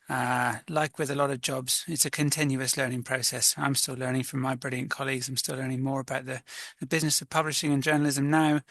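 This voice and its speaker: A man, a magazine editor, answers interview questions in a measured, thoughtful way, often pausing on "uh" and "um". His voice is bright and forward. The recording is dry and close, with almost no room sound. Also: medium pitch, dry recording. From Wales. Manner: thoughtful, measured, with frequent fillers.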